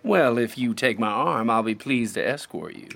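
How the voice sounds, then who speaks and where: A young Southern rogue, cocky yet brooding, coaxing in a teasing drawl. He has a middling pitch, in a 1930s-style radio drama with faint noise behind. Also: animated, moderate pace, gravelly, a bit bright. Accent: American South. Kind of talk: old-time radio drama acting.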